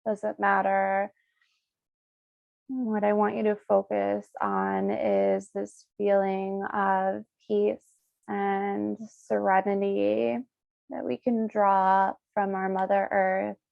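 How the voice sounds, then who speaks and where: A woman leading a guided meditation as a Reiki practitioner, speaking soothingly in short, unhurried phrases with pauses. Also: medium pitch, creaky, no room sound, muffled recording.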